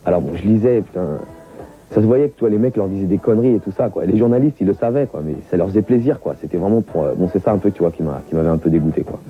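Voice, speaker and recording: Suburban French man, low husky voice, rapid slangy interview talk, tinny recording over faint music. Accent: Paris suburbs. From Montreuil, France. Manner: loose, slangy, full of fillers. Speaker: reggae artist interviewee. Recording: tinny lo-fi audio with music behind.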